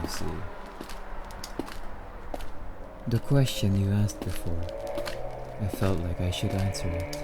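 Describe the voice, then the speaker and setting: A man playing a suave, courtly immortal vampire in an ASMR roleplay, speaking in formal, theatrical, rambling musings with drawn-out phrases. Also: sits low, noisy background. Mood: wistful, explanatory.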